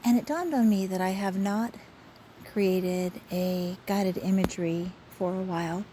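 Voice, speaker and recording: A woman leading a guided imagery session in a soothing, measured way with frequent pauses, recorded outdoors on a phone. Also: mid-range voice, warm tone. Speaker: guided imagery host and soul coach. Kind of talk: guided meditation talk. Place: outdoors by a river. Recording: faint outdoor ambient noise. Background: faint river ambience.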